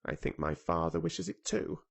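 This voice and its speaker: A man's voice: low voice, huge pitch swings, normal speed, creaky, pitched below the performer's own voice, heavily acted. A man reading aloud who voices a petulant, sickly bedridden boy with a fretful, cross manner. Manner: fretful, cross, self-pitying.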